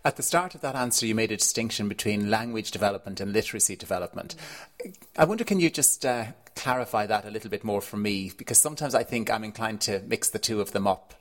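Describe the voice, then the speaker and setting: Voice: medium pitch, quick pace. An interviewer asking a guest to clarify a point, in a curious, questioning way.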